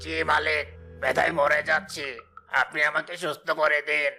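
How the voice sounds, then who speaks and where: Creaky, vengeful cartoon snake played by a man. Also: fairly high pitch, flat delivery, moderate pace, heavily acted. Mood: pained complaining. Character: vengeful wounded male snake, scheming and servile to its master. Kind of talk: dubbed cartoon dialogue. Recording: loud music bed under voice. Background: music.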